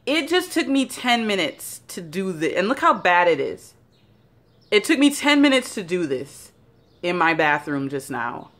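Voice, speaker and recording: Low-voiced chatty woman vlogger. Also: sing-song, measured pace, a bit bright. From Jamaica. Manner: chatty and rambling, with many fillers. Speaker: founder of a beauty brand. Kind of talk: casual vlog talk. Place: bathroom by a bright window. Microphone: phone held at arm's length.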